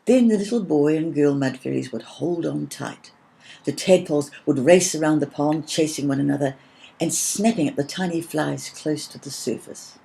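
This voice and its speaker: A woman's voice: low-pitched, normal speed, gravelly, mellow. A woman voicing a firm, imaginative girl who tells a children's story patiently and dramatically. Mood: fond.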